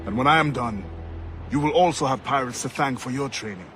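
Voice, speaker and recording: An actor playing a resolute pirate-turned-assassin sea captain in a video game, with a formal, commanding manner. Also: male voice, mid-range voice, fairly quick, lightly acted. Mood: reflective. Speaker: ship captain character. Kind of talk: dramatic video game acting. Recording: loud music behind the voice. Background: music.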